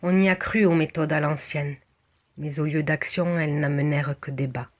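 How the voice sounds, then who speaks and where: A person from southwest France reciting a poem in measured, declamatory phrases with deliberate pauses. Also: mid-range voice, steady tone. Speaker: poem reader. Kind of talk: recited spoken-word poem.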